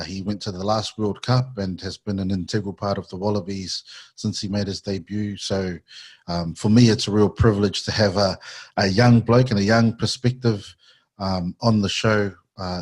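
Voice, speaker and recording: Friendly podcast host, lowish voice. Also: male voice, normal speed, husky. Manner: friendly, relaxed, casual slang. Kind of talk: casual interview questions.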